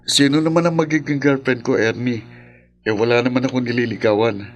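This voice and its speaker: A man's voice: medium pitch, steady tone, fairly quick, faint music behind, natural voice. A man playing a plain-spoken young factory worker in a radio drama, explaining things conversationally with a Filipino accent. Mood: earnest. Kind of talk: horror radio drama acting.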